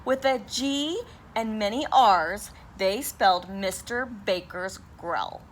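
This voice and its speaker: A woman's voice: mid-range voice, takes it slowly, a little hiss or hum. A woman teacher reading a picture book aloud to her class, sounding out and spelling words letter by letter.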